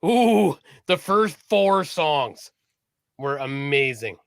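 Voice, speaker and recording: A man's voice: on the high side, sing-song, drawn-out words, vocal fry, no room sound, clean studio sound. A man co-hosting a casual live-streamed podcast, chatty and enthusiastic about music, swearing casually. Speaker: podcast co-host.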